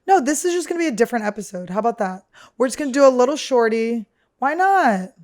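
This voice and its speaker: A woman with a deep, damaged-sounding voice hosts a comedy podcast from a studio. She rambles nonstop through crude, self-mocking stories. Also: animated, fast, a little mellow. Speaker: podcast host.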